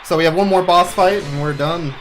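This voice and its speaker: A man's voice: on the high side, a little fast, faint music behind, a faint crowd, rough recording. A man doing casual, joking, self-deprecating live commentary while playing a speedrun.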